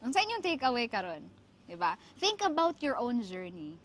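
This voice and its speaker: A woman from the Philippines with a Filipino accent and a Cebuano mother tongue, hosting reflective, motivational talk with emphatic, deliberate phrasing. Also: a little high, sing-song, a bit bright. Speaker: podcast host.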